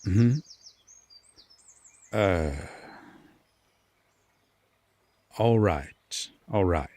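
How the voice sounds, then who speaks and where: Man playing a slow, brooding Fae lord. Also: deep voice, animated, warm tone, exaggerated voice. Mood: relenting, sympathetic. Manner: formal, hesitant, dramatic pauses. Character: brooding, courteous male Fae lord, guarded, reluctantly kind. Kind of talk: roleplay audio drama monologue.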